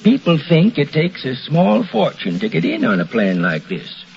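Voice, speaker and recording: An American actor playing a contented middle-aged husband in a 1940s radio commercial testimonial. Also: male voice, a little high, huge pitch swings, moderate pace, gravelly, full character voice. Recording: muffled old radio recording, faint hiss.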